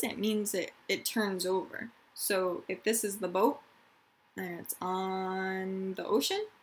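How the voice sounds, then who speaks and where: Woman, teacher, children's read-aloud, slow pace, expressive storytelling, deliberate delivery, speaks directly to young listeners. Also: medium pitch. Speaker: teacher reading a picture book aloud to pupils.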